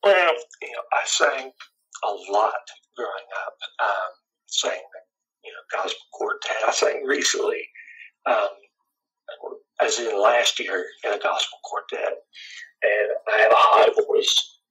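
Man, scratchy raspy voice, middle-aged, relaxed rambling chat, lively pitch. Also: a little slow. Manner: laid-back, rambling, chuckles often. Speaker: guitarist guest. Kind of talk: casual interview chat.

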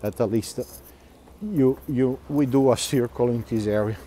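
A man's voice: medium pitch, measured pace, mellow, slight background noise. A middle-aged man gives a live walking tour outdoors, reading viewer comments aloud and chatting with frequent fillers and laughs. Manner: chatty, laughs often, many fillers and restarts. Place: outdoors, quiet canal-side streets.

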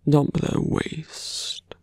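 A man playing a seductive, embittered slum-born villain in a fantasy ASMR roleplay, speaking slowly and intimately in a breathy, gravelly half-whisper. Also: a little mellow, dry recording, studio quality. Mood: bitter, intimate. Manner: slow, intimate, half-whispered, deliberate pauses.

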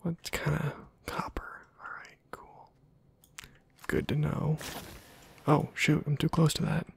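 A man softly whispering casual, rambling ASMR commentary over a video game, with a breathy, husky voice. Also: a little high, flat delivery, slow pace. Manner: soft-spoken, rambling to himself. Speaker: ASMR gaming host.

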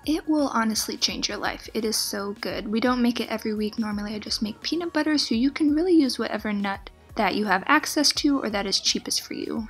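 Cooking host voice-over: young woman, breathy, a bit high, upbeat and chatty, faint music under it. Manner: upbeat, chatty, enthusiastic about food. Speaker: plant-based cooking channel host. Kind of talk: scripted recipe voice-over. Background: soft background music.

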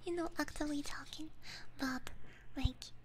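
A woman whispering breathily and gently into a close binaural microphone, chatting casually with her stream viewers. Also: sits high, steady tone, moderate pace. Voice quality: breathy, mostly unvoiced whisper. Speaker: ASMR live streamer. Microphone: close binaural ASMR microphone. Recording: faint background noise, soft clicks.

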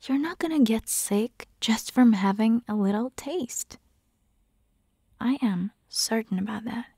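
A woman playing a caring, teasing vampire hunter girlfriend in an intimate ASMR roleplay, whispering breathily and coaxing gently. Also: fairly high pitch, measured pace, husky, dry recording, clean studio sound. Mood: coaxing, reproachful.

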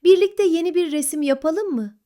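A woman's voice: very high voice, moderate pace, warm tone, voice pushed up. A woman voicing a restless, tactless young hedgehog in a children's storybook tale. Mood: hopeful. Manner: blunt, careless, later apologetic.

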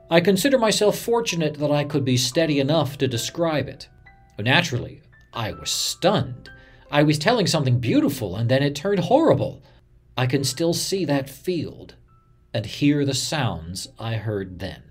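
Actor as a somber radio reporter looking back. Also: male voice, medium pitch, very animated, moderate pace, soft background music, close to an everyday voice. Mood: somber, reflective. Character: radio reporter recalling a disaster, earnest, somber man. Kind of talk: scripted first-person documentary reenactment.

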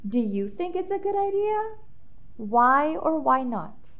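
A woman hosting an expressive read-aloud for young children, addressing them directly in an animated way. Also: high voice, slow. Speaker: children's story reader and host.

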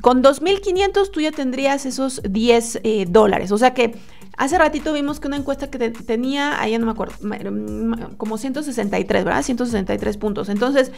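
A woman's voice: on the high side, fairly quick, vocal fry. A woman in her thirties talking casually to a webcam, walking viewers through websites with lots of fillers and restarts. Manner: chatty, animated, many fillers and restarts. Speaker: online money-making vlogger. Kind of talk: casual screen-share tutorial. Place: indoors at a desk, webcam.

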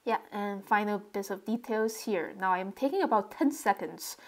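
A woman narrating a relaxed drawing tutorial step by step, describing what she does as she draws. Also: mid-range voice, moderate pace. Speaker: sketching tutorial host.

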